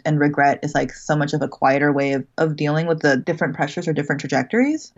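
Low-voiced woman on a muffled line. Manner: thoughtful, uses many "like" and "right?" asides. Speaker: guest, creative writing teacher. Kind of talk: casual interview answers. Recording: muffled remote call audio.

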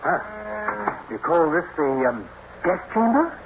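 An actor playing a polite, uneasy gentleman traveler, hesitant and proper, in a 1950s radio drama. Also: fairly high pitch, very animated, a little slow. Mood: politely curious. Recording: muffled, noisy old radio broadcast.

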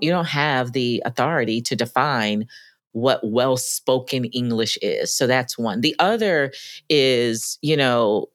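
A woman co-hosting a podcast, talking in an emphatic, lively way about workplace experiences. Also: rumbling low, no echo, studio quality. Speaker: podcast co-host. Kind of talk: conversational podcast discussion.

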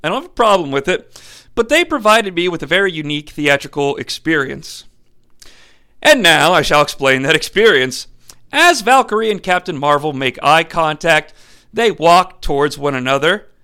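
A young man hosting a podcast, giving a sarcastic, animated comedic film review with some swearing. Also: fairly high pitch, moderate pace, smooth. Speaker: podcast host.

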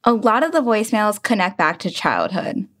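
A woman with a mid-range voice hosts a podcast in casual chat. She introduces listener voicemails with friendly, playful banter. She talks easily and warmly with her co-host. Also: medium pitch, sing-song, normal speed.

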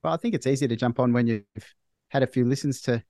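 An Australian man in his forties, a doctor being interviewed, talking in a measured, thoughtful way with frequent restarts. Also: mid-range voice, a little mellow, no echo, clean studio sound. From Melbourne, Australia. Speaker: guest, hospital doctor. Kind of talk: casual interview chat.

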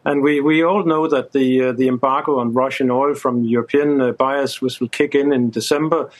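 Market analyst guest: man with average pitch, hesitant, word-repeating delivery in a live interview. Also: fairly even intonation, low-quality recording. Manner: halting, repeats words mid-sentence.

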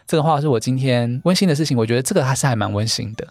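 A man's voice: mid-range voice, a little mellow, no echo, clean studio sound. A man, a podcast guest telling stories about the dating events he runs, chatty and full of filler words. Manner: brisk, chatty, many fillers and repeated "对". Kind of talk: podcast interview, storytelling.

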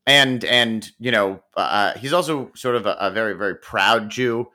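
A man's voice: lowish voice, moderate pace, sharp tone. A man co-hosting a podcast, chatting about football in an easygoing, joking way with many fillers. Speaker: podcast co-host. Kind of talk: casual sports chat.

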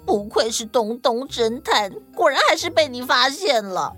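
Casting: woman as excitable kangaroo lady in a kids' story, high voice, defensive and pleading, music behind. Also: normal speed. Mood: sheepish confession. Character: female kangaroo, defensive, then tearfully remorseful. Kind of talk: children's audio story acting. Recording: music under the voice.

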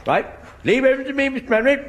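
An elderly English butcher and part-time corporal in an old radio comedy. He is muddled and excitable, rambling along in a chirpy high voice. The vintage recording is clearly noisy. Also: very fast. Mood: panicky, officious. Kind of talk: vintage British radio sitcom acting.

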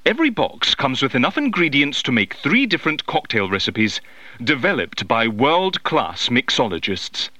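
Excitable man, theatrical delivery, very animated, bright, audio drama advert. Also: medium pitch, normal speed, no room sound. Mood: gleeful, excited. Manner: theatrical, enthusiastic. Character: excitable middle-class man leaving a playful message for a friend. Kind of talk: in-character scripted advert.

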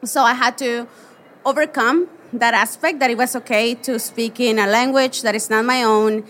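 A woman with a Spanish accent talks earnestly as a podcast guest, her voice a little high. She speaks in quick bursts and laughs easily. Faint convention noise is heard behind her. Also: steady tone, fairly bright tone. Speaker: interview guest, photographer. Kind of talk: casual interview. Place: busy convention floor.

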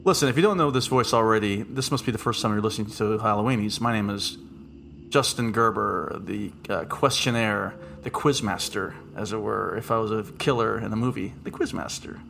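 A man with a deep voice hosting a casual podcast chat, joking and rambling off into asides. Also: brisk, vocal fry, a bit bright, slight background noise. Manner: jokey, rambling, with frequent asides. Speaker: podcast host.

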